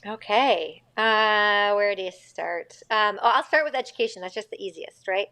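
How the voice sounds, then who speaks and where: A woman's voice: mid-range voice, moderate pace, sharp tone. A woman in her fifties from Connecticut, a school psychologist chatting as a podcast guest, rambling and correcting herself as she goes. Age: 50s. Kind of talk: casual podcast interview.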